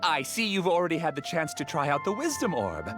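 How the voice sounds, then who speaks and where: Fawning, effusive businessman, animated. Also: fairly high pitch, a little fast, music in the background. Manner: obsequious, effusive. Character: fawning male tournament organizer, smooth flattering businessman. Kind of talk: video game voice acting.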